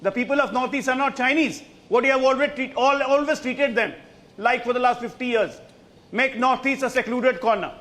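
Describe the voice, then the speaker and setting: A man's voice: extremely high pitch, normal speed, sharp tone. A man with an Indian accent arguing politics as a panellist on a TV news debate, in an indignant, emphatic and scornful way.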